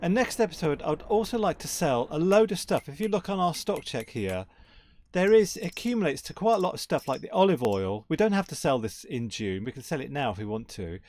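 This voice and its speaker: A man with a croaky voice casually commentating a farming game, rambling and correcting himself as he plays. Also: on the high side, sing-song, fairly quick, darkish tone. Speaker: gaming channel host.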